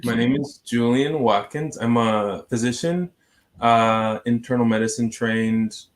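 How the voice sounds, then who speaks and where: A man's voice: mid-range voice, unhurried. A man speaking casually but professionally as a physician introducing himself on a panel.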